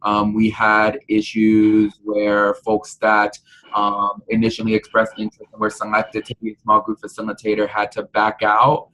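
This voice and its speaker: A man giving an informal report on a work conference call, speaking in a hesitant, halting way. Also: completely flat delivery, bright, forceful tone. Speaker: committee member presenting a report. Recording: noisy call with low rumble.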